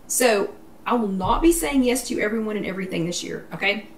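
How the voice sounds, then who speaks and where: A woman's voice: medium pitch, expressive, a little fast. Chatty woman host in an echoey room. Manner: chatty, emphatic, repeats herself. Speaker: vlog host. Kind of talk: casual live chat. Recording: echoey room, distant mic, faint noise.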